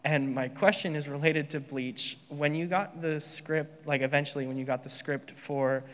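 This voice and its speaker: A man from the audience asking a question in a casual, slightly halting conversational way. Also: on the high side, fairly even intonation. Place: large convention panel room. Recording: echoey hall with long reverb.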